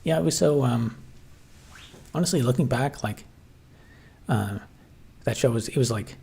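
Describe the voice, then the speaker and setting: A man chats loosely on a livestream, voice slightly husky and mid-range in pitch. He wanders through his thoughts with plenty of "uh"s, "like"s and false starts, in an easy, unhurried way. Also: animated, mellow. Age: adult, grew up watching 1990s TV. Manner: hesitant, many fillers and restarts, musing. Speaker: livestream host reading chat. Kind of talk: casual rambling livestream chat.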